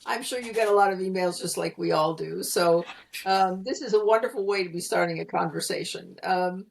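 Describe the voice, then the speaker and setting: Middle-aged American woman from Pennsylvania, articulate guest, explains clearly, speaks over a video call. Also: on the low side, a little fast, slight background noise. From Pennsylvania, USA. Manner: articulate, explanatory, light laughs. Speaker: guest, communication consultant. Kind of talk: conversational interview answer.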